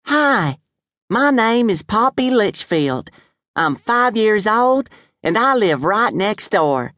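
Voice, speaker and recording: A man's voice: high voice, sing-song, slow, pushed and bright, no echo, clean studio sound, pitched above the performer's own voice, full character voice. A reader voicing a chatty, bold five-year-old girl, curious and blunt.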